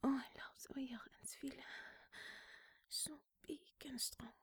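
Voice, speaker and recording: A woman whispering an intimate roleplay in a soft, breathy voice, with frequent sighs and French endearments mixed into her English. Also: high-pitched, steady tone, measured pace, dry recording, clean studio sound.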